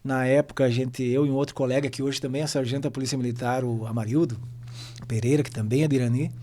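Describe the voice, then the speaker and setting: A middle-aged man from western Santa Catarina, telling his life story as a guest in a relaxed podcast chat. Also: mid-range voice, fast. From western Santa Catarina, Brazil. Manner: relaxed storytelling, frequent tag questions. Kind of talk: casual podcast conversation.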